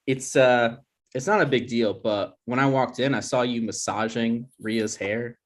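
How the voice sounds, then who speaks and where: A man's voice: medium pitch, steady tone, unhurried, close to an everyday voice. A man playing an insecure, jealous boyfriend and grad student in a comedy table read, speaking in a hesitant, stammering way. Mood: uneasy, defensive. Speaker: actor in a screenplay table read.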